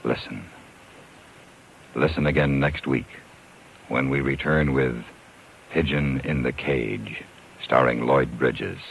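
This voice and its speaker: Very deep, gravelly radio announcer man. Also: fairly even intonation, slight background noise. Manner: ominous, deliberate. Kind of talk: dramatic 1950s radio announcing. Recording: vintage radio recording.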